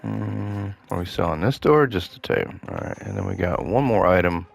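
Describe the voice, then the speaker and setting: A man giving casual gameplay commentary, thinking aloud to himself as he plays, his voice slightly hoarse. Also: fairly low pitch, a little fast, soft background music. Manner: relaxed thinking aloud, muttering to himself while playing. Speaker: gaming host playing a renovation game.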